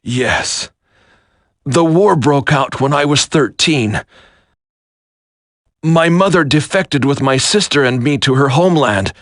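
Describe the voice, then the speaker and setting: An actor playing a grave military commander turned traitor in a video game, solemn, deliberate and resigned to fate. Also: male voice, on the high side, expressive, fairly bright tone, dry recording, studio quality, heavily acted. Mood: solemn, fatalistic. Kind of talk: dramatic scripted video game acting.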